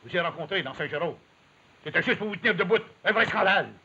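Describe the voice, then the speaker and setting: A man playing a stern, gruff parish priest with a Quebec French accent, brusque and scolding, in 1950s television drama style. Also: high voice, lively intonation, fast talker, pushed and bright, full character voice. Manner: brusque, scolding, repeats himself. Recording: dull, noisy 1950s television soundtrack.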